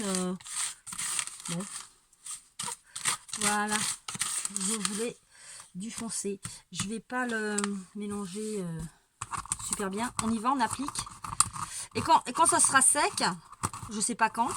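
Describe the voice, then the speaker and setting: A woman's voice: medium pitch, slow, long pauses. A woman with a cold, talking through a casual painting demonstration at home, hesitant and rambling with repeated words and wry asides. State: stuffy-nosed with a cold. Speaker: amateur painter showing her technique. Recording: home recording with clear background noise. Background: dog barking now and then.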